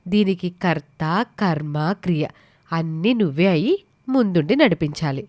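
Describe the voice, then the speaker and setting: A woman's voice: on the low side, lively intonation, moderate pace, darkish tone, no room sound. A woman playing a scheming, sweetly persuasive middle-aged village mother in a moral story. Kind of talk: dramatised Telugu moral story.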